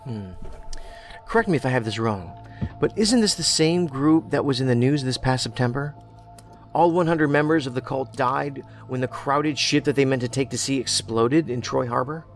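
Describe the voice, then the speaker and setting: A sardonic federal investigator played by a man with a medium-pitched voice. He is dry, combative and needling, in science fiction audio drama style over soft music. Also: normal speed, natural voice.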